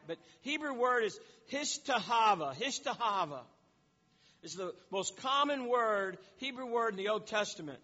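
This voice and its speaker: A man's voice: high-pitched, pushed and bright, in a large hall, lo-fi sound. A man teaching a sermon in an explanatory way, often repeating words and restarting phrases. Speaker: preacher.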